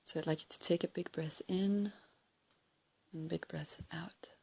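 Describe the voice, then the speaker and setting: Husky, very creaky woman guiding a meditation. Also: lowish voice, fairly even intonation, measured pace, a little mellow, low-quality recording. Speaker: guided meditation leader. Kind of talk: guided meditation, calm unscripted instruction.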